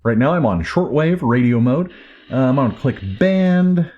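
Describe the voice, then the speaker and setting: A man with a mid-pitched voice walks through a product in a casual hands-on review. His delivery is informal and off the cuff, like someone showing a gadget to viewers. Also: expressive, moderate pace, highs cut off. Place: indoors at home. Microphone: camera or recorder microphone.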